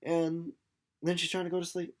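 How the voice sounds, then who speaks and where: A man's voice: on the high side, monotone, moderate pace. A young man giving a casual, informal book recap, playful and rambling off into asides. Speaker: book reader and presenter.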